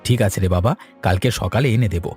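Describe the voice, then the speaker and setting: A man voicing an indulgent village father in a cartoon, gently chiding and coaxing. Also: fairly low pitch, quick pace, husky, faint music behind. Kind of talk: cartoon voice acting.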